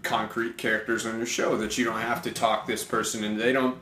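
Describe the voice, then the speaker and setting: A man's voice: on the low side, flat delivery, brisk, sharp tone, roomy sound, a little hiss or hum. A man co-hosting a podcast, rambling and joking in casual comedic banter. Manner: rambling, joking, self-deprecating. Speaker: podcast co-host.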